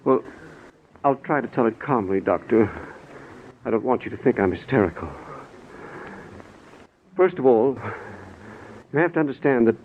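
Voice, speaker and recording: A man's voice: medium pitch, expressive, moderate pace, long pauses, close to an everyday voice. An actor playing an earnest, frantic middle-aged man pleading to be believed, in dramatic 1940s radio style. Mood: earnest, brooding. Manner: urgent, dramatic, theatrical. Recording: scratchy narrow-band 1940s radio recording.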